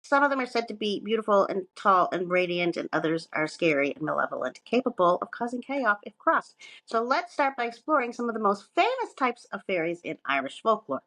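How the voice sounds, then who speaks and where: A woman with a medium-pitched voice presents her research on a casual podcast. She moves between reading notes and chatty, irreverent asides with some swearing and self-mockery. The sound is dry, with very little room to it. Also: very animated, fairly quick, hardly any pauses, a bit bright, no echo.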